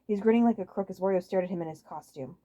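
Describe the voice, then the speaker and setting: A woman's voice: medium pitch, racing, warm tone, muffled recording. A woman reading fan fiction aloud as a scripted story narrator on a home microphone.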